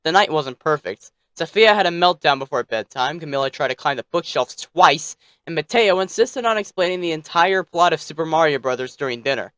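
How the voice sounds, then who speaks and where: A man's voice: a little high, lively intonation, fairly quick, few pauses, a bit bright, smooth, no room sound. Guy reading a bedtime story, theatrical, bit snippy in asides, close dry sound. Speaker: storyteller, narrator.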